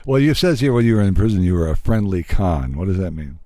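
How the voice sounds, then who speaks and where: A man's voice: low-pitched, very animated, fast talker, dark tone, dry recording, studio quality. A man hosting a casual radio interview, asking questions in an informal, blunt and joking way.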